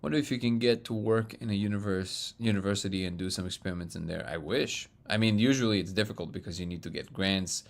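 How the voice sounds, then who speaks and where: A man with a low, booming voice chats loosely on a live stream, answering viewers' questions one after another. His tone has a gravelly rasp, and he laughs easily between thoughts. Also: fast, hardly any pauses. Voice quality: loud booming voice. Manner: excitable, laughs often, reads chat aloud. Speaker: livestream host answering chat. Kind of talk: casual live-stream chat.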